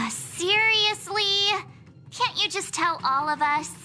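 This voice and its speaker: A woman voicing a spirited, sarcastic teenage girl who is quick to exasperation in a video game. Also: very high voice, animated, sharp tone, faint music behind, heavily acted. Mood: exasperated.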